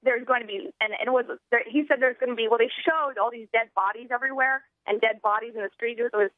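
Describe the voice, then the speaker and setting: A woman's voice: on the high side, fast, no room sound. An American woman in her thirties co-hosting political talk radio in an informal, chatty, conversational way. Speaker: radio co-host. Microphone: telephone. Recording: narrow-band telephone line on radio.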